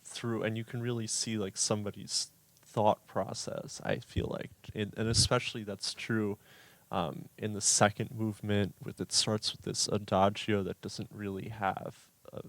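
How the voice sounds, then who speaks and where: A young man from New York City with a low, even voice. He speaks in a flat, musing way and pauses often to find his words. The recording is close and dry. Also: dry recording. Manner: thoughtful, musing, hesitant with ums. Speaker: pianist, music student. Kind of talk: radio interview. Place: radio performance studio.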